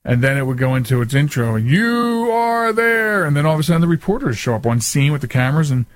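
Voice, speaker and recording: Male radio host, slightly high voice, dry close sound, stumbling and repeating himself in loose conversation. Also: big pitch swings, no echo. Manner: halting, repeats words and phrases. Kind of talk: casual unscripted radio talk with a guest and callers.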